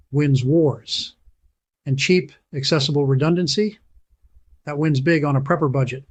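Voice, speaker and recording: A man reading a scripted voice-over as channel host, persuasive and emphatic, slowing down to stress key phrases. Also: on the high side, no echo.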